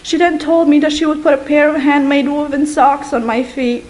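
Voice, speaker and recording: High-pitched young woman from Bosnia, earnest testimony, slow with long pauses. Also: fairly even intonation, a little mellow. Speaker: guest giving a testimony.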